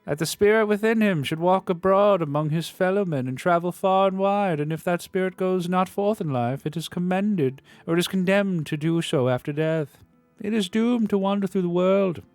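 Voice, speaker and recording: A man voicing a mournful chained male ghost with high, moaning, drawn-out wails. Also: a little mellow, no room sound, faint music behind.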